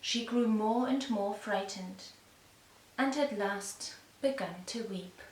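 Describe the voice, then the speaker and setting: A woman storyteller narrating a fairy tale for young children with measured, deliberate phrasing. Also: medium pitch. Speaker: storyteller and puppeteer. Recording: echoey room, distant mic, faint noise.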